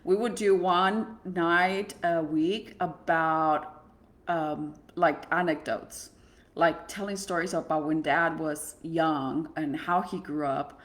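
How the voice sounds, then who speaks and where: A woman's voice: low-pitched, steady tone, slow pace. Earnest woman giving advice. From Mexico. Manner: earnest, conversational, with frequent restarts. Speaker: host and therapist. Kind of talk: advice monologue on parenting.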